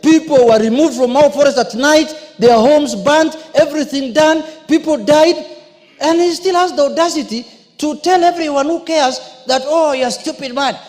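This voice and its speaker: Kenyan guy mp, really high voice, indignant debate speech, big echoey hall. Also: normal speed, darkish tone. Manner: indignant, emphatic, repetitive. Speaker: member of parliament. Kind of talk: impassioned parliamentary debate speech. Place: indoor parliament chamber. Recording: echoey large debating chamber.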